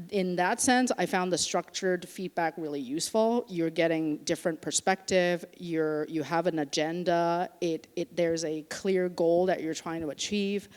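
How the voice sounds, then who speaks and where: A woman's voice: sits low, a little mellow. A woman from Canada, a startup founder chatting on a conference panel and restarting her sentences as she goes. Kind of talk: casual panel talk. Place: conference stage. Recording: reverberant hall.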